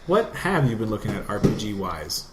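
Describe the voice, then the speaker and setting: Male podcast co-host, deep-ish voice, loose rambling gamer chat, roomy sound with background noise. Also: very animated, fairly quick. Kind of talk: casual gaming chat.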